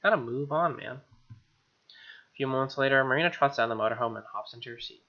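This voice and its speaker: A man reading visual novel narration aloud as a let's player, expressive, with casual asides and reactions. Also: mid-range voice, a little fast. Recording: dull lo-fi mic, music clearly behind. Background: game music.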